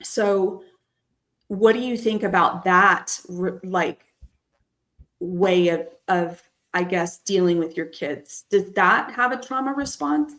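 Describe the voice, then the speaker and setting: Candid woman podcast host. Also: fairly bright tone. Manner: candid, storytelling, drops voice low and slow for emphasis. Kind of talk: casual personal chat.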